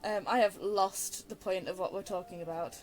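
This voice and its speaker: A woman's voice: mid-range voice, fairly even intonation, moderate pace, rough recording. Young woman rambling over a game. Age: young adult. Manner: hesitant, thinking aloud, earnest. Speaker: game streamer. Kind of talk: casual rambling game commentary. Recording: faint game music behind. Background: game music.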